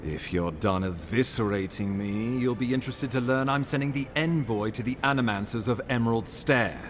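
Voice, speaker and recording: An actor playing a weary, dutiful imperial ambassador in a fantasy game, speaking in a measured, formal, resigned way. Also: male voice, mid-range voice, background noise, lightly acted. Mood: contrite but firm. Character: weary, dutiful male imperial ambassador, self-doubting yet courteous. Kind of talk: dramatic fantasy game dialogue.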